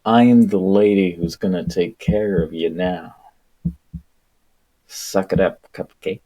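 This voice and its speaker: A man voicing a bossy, threatening, mocking monitor creature with a gruff delivery. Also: low voice, takes it slowly, warm tone, dry recording, rough recording.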